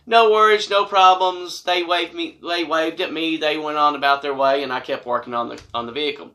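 A man's voice: on the high side, fairly even intonation, a little fast, sharp tone. A middle-aged American man telling a personal story to camera in a casual, folksy and emphatic way. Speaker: storytelling vlogger. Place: small wood-paneled room indoors.

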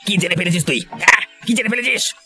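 A man's voice: high-pitched, fast, pushed and bright, faint music behind. A man voicing a wicked, melodramatic village murderer in a cartoon. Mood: leering, threatening. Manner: taunting, stammering under pressure. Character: wicked, leering village murderer, cowering once caught.

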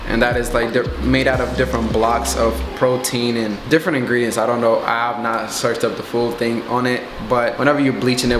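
A young man from Ethiopia talking casually about his hair in a relaxed, rambling vlog. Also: medium pitch, reverberant, background noise. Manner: relaxed, conversational, rambling with fillers. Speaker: vlogger. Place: small indoor room.

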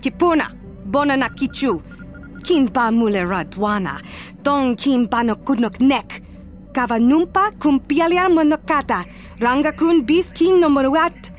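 A woman's voice: high-pitched, expressive, a little slow. Casting: stern female alien warrior for a video game, invented tongue, music underneath. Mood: insistent.

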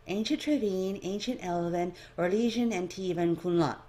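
A woman's voice: lowish voice, normal speed, mellow, natural voice. A woman voicing a guarded female linguist in a story, clipped and politely dry, feigning meekness. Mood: wary.

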